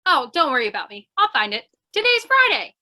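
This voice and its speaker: A woman's voice: high voice, big pitch swings, brisk, sharp tone, full character voice. A woman playing a scatterbrained, chatty, eager young lady reporter, earnest and theatrical, in old-time radio crime comedy style. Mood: breezy, oblivious.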